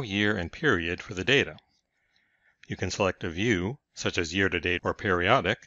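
Casting: male tutorial narrator, low-pitched, measured delivery, very dry and slightly muffled recording. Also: a bit bright, dry recording. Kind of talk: scripted software tutorial narration.

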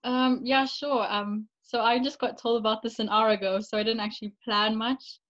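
A woman's voice: a little high, little pitch movement, moderate pace, fairly bright tone. Young woman chatting on a video call. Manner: chatty, light laughs, informal. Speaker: participant sharing her craft. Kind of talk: casual video call chat. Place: indoor bedroom with posters. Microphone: laptop webcam on video call. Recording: dull lo-fi video call audio.

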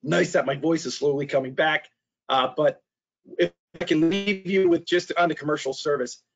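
A middle-aged man giving a webinar presentation, hoarse and losing his voice, halting with fillers and restarts and clearing his throat. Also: a little high. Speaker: trade specialist presenter. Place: small office. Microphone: video call. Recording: dull lo-fi video call audio.

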